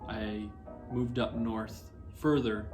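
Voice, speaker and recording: A man's voice: on the low side, fairly even intonation, slow pace, music in the background. A young man from Colorado co-hosting a casual couple chat, easygoing and joking as he tells a story. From Colorado, western United States. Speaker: co-host.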